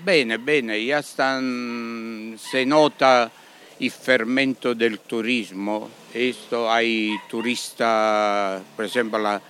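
A man with an Italian accent, a restaurant owner speaking Spanish in a radio interview, halting and slipping into Italian words. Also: medium pitch, fairly even intonation, fairly bright tone, a little hiss or hum. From Italy.

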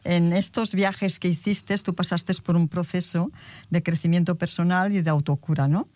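A woman's voice: fairly low pitch, steady tone, moderate pace, a little mellow, no room sound. Chatty older female interviewer, dry close sound. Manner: chatty, repeats phrases for emphasis. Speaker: interviewer, host. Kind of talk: casual conversational interview.

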